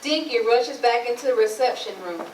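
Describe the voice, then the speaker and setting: High-voiced woman narrator in an echoey room. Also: expressive, a little fast, noisy background. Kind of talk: reading stage directions in a readers theatre play. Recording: echoey, distant mic, music behind.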